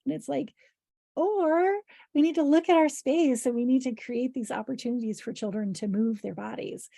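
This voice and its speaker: Husky, hoarse woman explaining as a trainer. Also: high-pitched, normal speed, warm tone, dry recording, studio quality. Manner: conversational, explanatory. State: hoarse voice, clearing her throat. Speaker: early childhood educator leading a training. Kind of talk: informal instructional presentation with examples.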